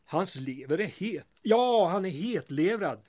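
An actor playing a mild, cautious and principled small-town mayor in an audio drama, measured and questioning. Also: male voice, high-pitched, expressive, a little mellow. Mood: thoughtful.

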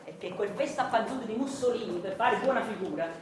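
A woman playing a self-styled intellectual husband who narrates a radio drama theatrically and deliberately, with a Neapolitan accent. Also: medium pitch, lively intonation, echoey room, background noise, natural voice. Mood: storytelling. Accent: southern Italian, Neapolitan. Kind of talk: theatrical scripted storytelling.

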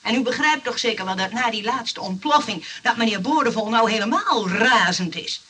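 Fussy stammering nurse, old radio play. High and bright, slightly off mic. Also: female voice, lively intonation, moderate pace, distant mic. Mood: scolding. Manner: flustered, stammering, indignant. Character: fussy, easily hurt nurse, a flustered landlady.

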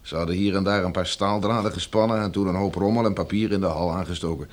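A man's voice: low voice, steady tone, fast talker, close to an everyday voice. A man in a radio drama playing a cheery, slangy working-class henchman guarding a captive with offhand ease. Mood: jaunty, amused.

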